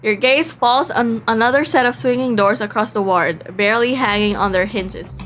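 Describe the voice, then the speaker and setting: A woman streamer with a fairly high voice, reading a game story aloud over background music. Her delivery is expressive and playful, with excited asides breaking up the narration. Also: normal speed. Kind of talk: reading a visual novel aloud with excited live reactions.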